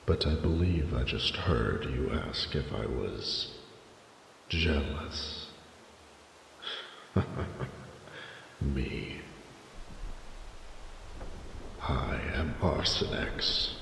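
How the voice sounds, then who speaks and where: A man's voice: very deep voice, full character voice. A man voicing an ancient, proud and flirty male dragon with pompous, archaic, formal speech in a romantic audio roleplay. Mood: haughty, boastful. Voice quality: gravelly. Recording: roomy cavernous reverb with noticeable noise.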